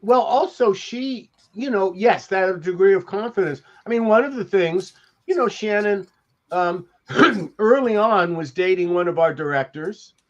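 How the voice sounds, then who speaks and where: An older man with a notably high voice reminisces casually on a panel. He talks in a halting, rambling way. The sound is dull and lo-fi, like a home video call. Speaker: podcast panelist, TV industry veteran.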